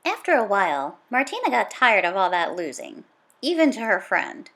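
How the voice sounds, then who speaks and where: A woman reading a children's nonfiction book aloud in a dramatic, emphatic storytelling manner, with punchy exclamations and drawn-out key words. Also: medium pitch, big pitch swings, a bit bright. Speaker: storybook read-aloud reader.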